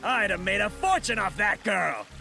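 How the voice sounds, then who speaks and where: A man's voice: high-pitched, gravelly, pushed and bright, full character voice. A cocky swindler boss from a video game. He scrapes and swoops between boasting and wheedling, drawing his words out theatrically. Some noise sits behind the voice. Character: brazen scheming male swindler, cocky gang leader. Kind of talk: theatrical game cutscene voice acting.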